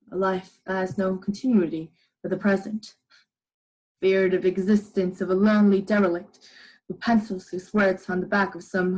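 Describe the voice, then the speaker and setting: A woman's voice: mid-range voice, lightly acted, poor audio. A young woman playing a weary, haunted male professor who narrates his survival journal in a radio drama. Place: living room at home.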